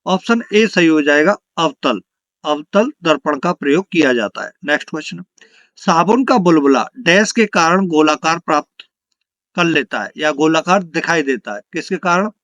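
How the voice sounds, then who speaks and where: A man's voice: a little high, expressive, normal speed, fairly bright tone, dry recording, muffled recording. A man teaching an exam lesson, reading out questions and answers and repeating them for emphasis.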